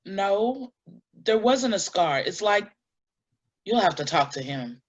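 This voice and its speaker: An actress playing a shaken, earnest woman giving deliberate testimony in a dramatic staged reading, on a lo-fi recording. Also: medium pitch, very animated. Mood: uneasy. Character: shaken, earnest woman recounting an eerie night in woods.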